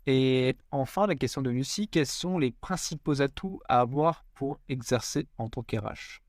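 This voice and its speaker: A man hosts a podcast interview, asking questions in a relaxed, friendly way with a few fillers. His voice is mid-pitched and the sound is dry. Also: normal speed, no room sound. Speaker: podcast host.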